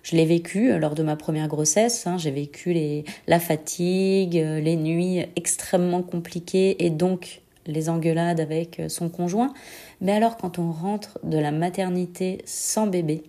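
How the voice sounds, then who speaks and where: Mellow, congested young woman, low-voiced. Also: fairly even intonation. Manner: hesitant, reflective, pausing often. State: congested with a head cold. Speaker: bereaved mother giving a personal testimony. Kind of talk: intimate personal testimony, answering set questions.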